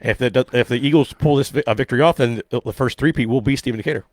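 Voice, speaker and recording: Very fast-talking American man on radio. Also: mid-range voice. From western Pennsylvania. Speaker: radio show co-host. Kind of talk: casual radio banter. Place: close-miked radio studio.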